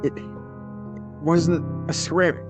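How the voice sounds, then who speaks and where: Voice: mid-range voice, flat delivery, soft background music. An actor playing a guilt-ridden young man, the hesitant hero of an audio drama, stammering apologetically. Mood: flustered. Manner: halting, stammering.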